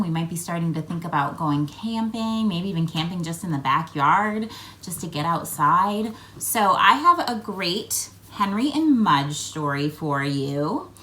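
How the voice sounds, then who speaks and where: A woman reading a picture book aloud to children, with expressive emphasis and chatty asides addressed to the listeners. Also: lowish voice. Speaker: read-aloud host for children.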